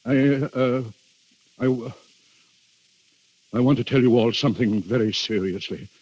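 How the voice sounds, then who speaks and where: Through a muffled 1930s radio recording comes a worried nobleman. His husky, mid-pitched voice is grave and halting, with formal deference. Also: a little mellow, dry recording. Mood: grave, anxious. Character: anxious, dutiful Italian duke hosting a dreadful guest. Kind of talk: 1930s radio drama acting.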